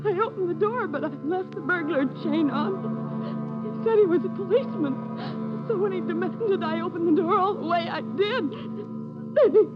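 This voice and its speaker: Young female crime victim: distraught, weepy, very high, dull vintage radio sound. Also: normal speed, loud music behind the voice. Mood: tearful, shaken. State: tearful, sobbing. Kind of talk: 1940s-50s radio melodrama acting.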